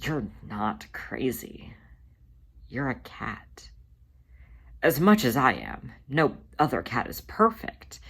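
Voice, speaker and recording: Someone voicing a playful, protective tomcat, lively pitch. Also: slow pace, vocal fry, breathy edge, heavily acted. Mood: soothing, tender. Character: playful, protective, laid-back male cat, big brother.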